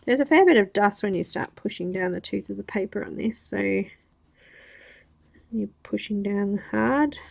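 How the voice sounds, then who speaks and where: A woman with an Australian accent and a nasal voice, chatting casually while coloring on a livestream, thinking aloud with pauses. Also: mid-range voice, animated, creaky.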